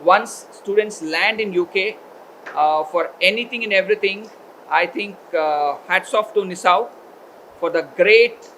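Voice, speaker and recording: High-voiced man giving a halting speech. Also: bright, forceful tone, slight background noise, rough recording. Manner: hesitant, many filler pauses. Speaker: education consultancy representative. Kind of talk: formal welcome speech at a college event.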